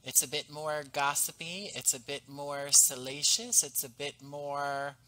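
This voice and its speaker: A man in his thirties, a podcast guest, speaking in a measured, articulate way over a headset mic on a video call. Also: fairly high pitch, little pitch movement, pushed and bright, low-quality recording. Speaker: podcast guest, audio drama writer. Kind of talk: casual interview talk. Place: home room with painting behind.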